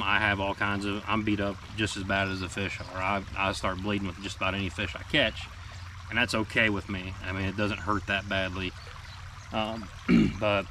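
A man in his thirties sitting in a small boat on a river, casually explaining fishing gear to the camera in a relaxed, plain-spoken way with plenty of ums. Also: fairly low pitch. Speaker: fishing guide and show team member. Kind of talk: casual instructional talk to camera. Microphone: camera mic from a few feet away. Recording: distant outdoor camera mic with noise.

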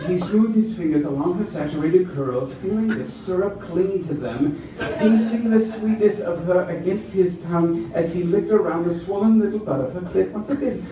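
A young man gives a theatrical, deliberate dramatic reading of fiction aloud on a stage, playing it for comedy. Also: high voice, distant mic. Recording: echoey small venue from audience, crowd laughing.

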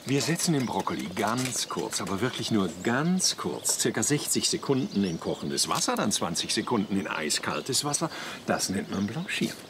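Fussy gentleman cook, radio play: formal, precise, animated intonation, noisy background. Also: fairly low pitch, normal speed. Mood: politely instructing. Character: charming, cultivated, fussy gentleman hobby cook. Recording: clear background noise and ambience.

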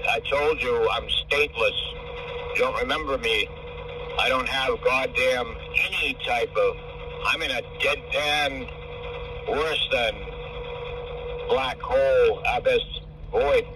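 A man's voice: medium pitch, takes it slowly, long pauses. An older man, over 65, talking in a rambling, profane, hard-to-follow way on a phone call. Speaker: caller. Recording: distant phone call with faint car noise.

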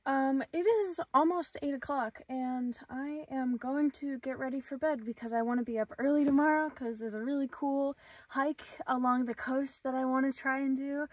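A young woman in her twenties chatting casually to a handheld camera, giggly and prone to excited exclamations, filming in her car and on trails. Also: high voice, no room sound. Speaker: vlogger. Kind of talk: casual vlog chat to camera. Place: inside a parked car and outdoors on forest trails. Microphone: phone or camera held at arm's length.

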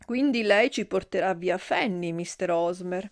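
A woman voicing a brash, gossipy middle-aged woman who speaks in shrill, exclamatory outbursts. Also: measured pace.

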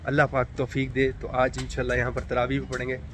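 Young male vlogger, chatty selfie-style narration, phone mic at arm's length, loud street traffic behind. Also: medium pitch, monotone, fast. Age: young adult. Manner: chatty, upbeat narration to camera. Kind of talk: casual travel vlog. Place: outdoors on city streets. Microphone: phone held at arm's length. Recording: noisy outdoor street recording. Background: traffic and street noise.

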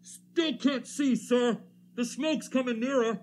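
An actor playing an anxious artillery observer, giving halting reports to his officer in radio drama style. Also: very high-pitched, noisy background. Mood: choking, panicked. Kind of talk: 1930s radio drama acting.

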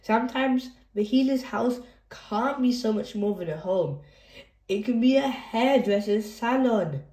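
A woman's voice: a little high, expressive, measured pace, dark tone, reverberant. A woman hosting a children's read-aloud, reading a picture book expressively for young listeners.

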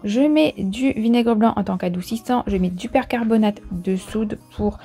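Mellow-voiced woman narrating a casual vlog. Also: medium pitch, normal speed, husky. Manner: chatty, explanatory, step-by-step. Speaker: vlogger, host. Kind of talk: casual cleaning vlog voice-over. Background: soft background music.

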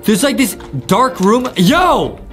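A man's voice: very high voice, very animated, takes it slowly, fairly bright tone, soft background music. A young American man hosting gameplay commentary in a hyped, exclamatory, slangy way. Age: young adult. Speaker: gaming YouTube host.

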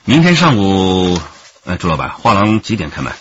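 An actor playing a wily, taunting senior detective in a radio drama, needling with leading questions. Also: male voice, low voice, very animated, moderate pace, muffled recording, slight background noise. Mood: brisk, commanding. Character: wily, taunting male police detective in command. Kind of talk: Chinese radio drama acting.

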